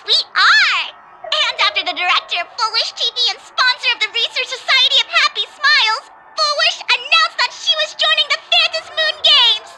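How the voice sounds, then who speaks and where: A woman's voice: very high-pitched, poor audio. A woman playing a theatrical, emphatic female TV news anchor delivering sensational bulletins in a video game. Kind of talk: sensational scripted news broadcast.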